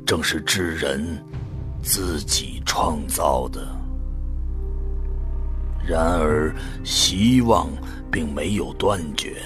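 A man playing a somber, resolute volunteer who narrates solemnly and deliberately in a science fiction audio drama. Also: deep voice. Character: somber, resolute male volunteer narrating humanity's last refuge. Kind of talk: scripted dramatic first-person narration.

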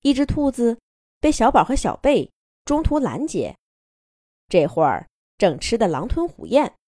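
A woman narrating a children's fairy tale in an expressive, playful storytelling manner. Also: fairly high pitch, unhurried, dry recording, studio quality.

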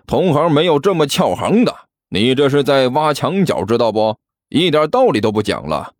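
A man voicing a brazen, stubborn, quick-witted hustler in an audiobook, arguing with sarcasm and sneers. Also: mid-range voice, big pitch swings, no echo, studio quality. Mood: irritated.

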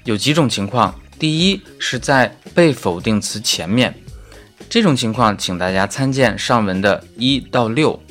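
A male native Chinese speaker gives a grammar lesson with highly varied intonation. He is didactic yet conversational, slipping in casual asides and stating examples carefully. Soft music sits faintly behind him. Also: animated, a little slow. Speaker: German grammar teacher.

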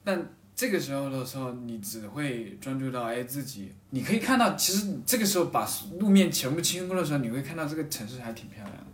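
A man's voice: mid-range voice, big pitch swings, fast talker, hardly any pauses, slight background noise. A man in a casual, reminiscing podcast chat, rambling and repeating phrases as he explains. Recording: roomy echo, off-mic, music behind.

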